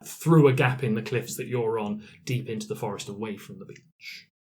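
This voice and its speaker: A man's voice: lowish voice, normal speed. A man narrating an improvised tabletop fantasy game as game master, in a descriptive storytelling manner.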